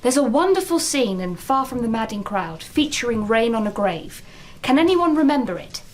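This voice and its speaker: An actress playing an earnest, worried English teacher in a naturalistic British radio drama. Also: female voice, fairly high pitch, very animated, normal speed, low-quality recording. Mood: earnest, lecturing.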